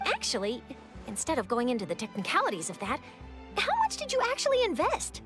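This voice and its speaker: A woman's voice: high-pitched, huge pitch swings, a little fast, music in the background. A woman voicing an eager, earnest and articulate young female journalist in a video game. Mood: alarmed. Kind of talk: English video game voice acting.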